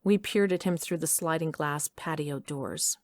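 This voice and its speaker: A woman's voice: low-pitched, moderate pace, a little mellow, no echo, natural voice. A middle-aged woman playing a determined, wry mother who narrates her own adventure in an audio drama. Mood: measured storytelling. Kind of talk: first-person scripted narration.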